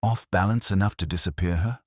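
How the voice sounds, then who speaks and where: A man's voice: deep voice, brisk, no echo, clean studio sound, voice pushed up. A man voicing a wry, probing male private investigator in a detective audiobook, sardonic and pressing.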